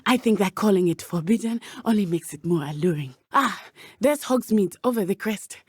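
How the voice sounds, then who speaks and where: A woman's voice: medium pitch, expressive, moderate pace, husky, dry recording, studio quality. A woman with a Zimbabwean accent voicing a brave, earnest teenage witch in a video game, speaking in formal, precise phrases. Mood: curious, warm. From southern Africa (Matabeleland). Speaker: student companion character in a video game.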